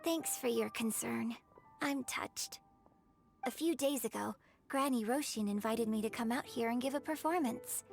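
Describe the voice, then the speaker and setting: A woman's voice: on the high side, moderate pace, gravelly, faint music behind. A woman voicing a poised, gracious young opera performer in a video game, speaking politely with refined, composed phrasing. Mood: gracious, pleased.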